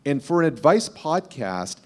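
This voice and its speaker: A man who hosts a podcast, speaking conversationally on a panel in a measured, explanatory way that often rephrases as he goes. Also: on the high side, sing-song.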